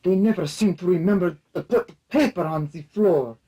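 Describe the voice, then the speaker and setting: A man playing a melodramatic narrator and underling in a comic radio play, with a husky voice. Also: high voice, normal speed, mellow. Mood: dismayed.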